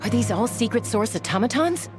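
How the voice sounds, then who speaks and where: Actress as confident, lively young game heroine. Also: female voice, on the high side, fairly quick, music in the background, natural voice. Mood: curious, concerned. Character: confident young female fighter, reassuring and sharp-witted. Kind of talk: video game voice acting.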